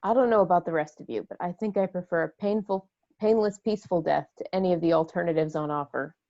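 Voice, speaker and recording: Woman as gravelly, fatalistic ship navigator. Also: fairly low pitch, fast talker, warm tone, lo-fi sound, lightly acted. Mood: bleak. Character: weary, fatalistic female spaceship navigator, tense. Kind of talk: amateur screenplay table read.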